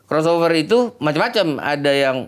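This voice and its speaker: A man's voice: on the high side, expressive, normal speed. A man teaching audio basics in a casual, joking way, repeating key words for emphasis. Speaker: guest audio expert and teacher. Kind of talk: casual educational talk with jokes.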